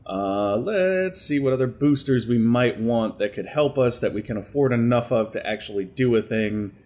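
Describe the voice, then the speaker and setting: A man's voice: mid-range voice, expressive, normal speed, a little mellow. A young man playing a strategy game on a headset mic, slightly congested from allergies, talking through his moves aloud in a chatty way. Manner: chatty, thinking aloud while planning moves. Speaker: gaming commentator. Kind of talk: let's play strategy game commentary.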